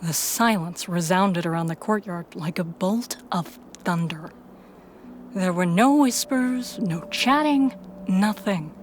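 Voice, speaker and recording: A woman's voice: fairly low pitch, takes it slowly, slight background noise. A woman reading scripted first-person story narration as the narrator and protagonist of an audio drama.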